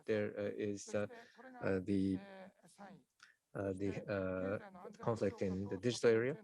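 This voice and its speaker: A man presenting survey results at a conference in a halting, formal way, full of hesitations. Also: lowish voice, a little mellow. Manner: hesitant, heavy use of filler sounds.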